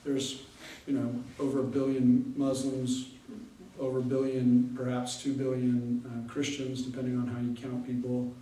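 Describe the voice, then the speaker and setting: A man, a pastor, giving a casual, conversational talk with many fillers and pauses. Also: mid-range voice, monotone, mellow. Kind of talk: casual lecture with audience Q&A. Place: large hall with audience. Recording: echoey hall from far off.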